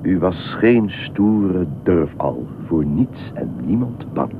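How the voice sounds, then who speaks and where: A man's voice: deep voice, animated, slow pace, heavily acted. An actor in a Dutch radio play as a wary, matter-of-fact man, terse and questioning with an odd companion. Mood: dry, casual. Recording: muffled narrow-band old radio recording, noisy ambience.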